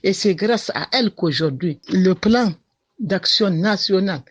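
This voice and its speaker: A woman's voice: medium pitch, lively intonation, unhurried, breathy edge. A woman giving a spoken testimonial about a colleague in an interview. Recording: dull lo-fi sound.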